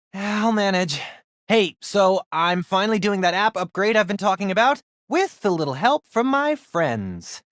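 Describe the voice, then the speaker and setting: A man playing a nerdy, excitable teenage boy in a video game, the hero's tech-savvy best friend, with a casual, wisecracking delivery. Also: high voice, no room sound, studio quality.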